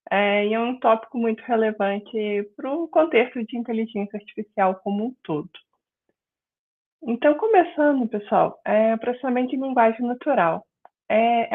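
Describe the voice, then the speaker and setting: A middle-aged woman from Minas Gerais with a Mineiro accent, teaching an online class in a friendly, explanatory way and filling pauses with "né". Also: fairly high pitch. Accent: Mineiro Brazilian Portuguese. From Minas Gerais, Brazil. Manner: friendly, explanatory, frequent "né" and "é" fillers. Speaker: guest instructor. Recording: tinny phone-like online call audio.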